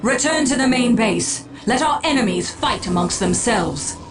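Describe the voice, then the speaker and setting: A woman's voice: fairly high pitch, lively intonation, normal speed, lightly acted, rough recording. A woman playing a proud female alien seer in a video game, speaking formally and solemnly as a leader of her people. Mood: resolute. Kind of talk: dramatic video game acting. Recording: music bed underneath.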